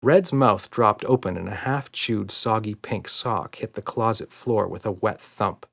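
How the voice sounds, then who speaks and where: A man's voice: lowish voice, unhurried, creaky. A man narrating a scripted children's audiobook story.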